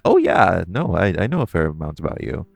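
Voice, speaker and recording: A man's voice: deep voice, big pitch swings, brisk, vocal fry, dry recording, faint music behind, heavily acted. A man playing a flirty, teasing supervillain thief in an audio roleplay, coy and theatrical, drawing out his phrases as he talks to the listener. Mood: coy, flirtatious.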